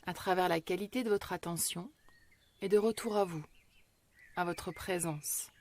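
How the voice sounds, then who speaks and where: A woman guiding a yoga relaxation meditation in a slow, measured, gentle and soothing way, with long pauses. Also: on the low side. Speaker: yoga nidra meditation guide.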